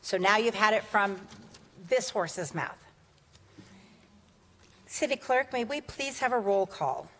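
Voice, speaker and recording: A woman's voice: mid-range voice, lively intonation, slow, pauses often, fairly bright tone. A woman runs a city council meeting, chatty and plain-spoken, her voice sitting in the middle of her range. She speaks into a desk microphone, and the council chamber gives it a long reverb. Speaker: mayor pro tem chairing a council meeting. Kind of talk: chairing a public meeting.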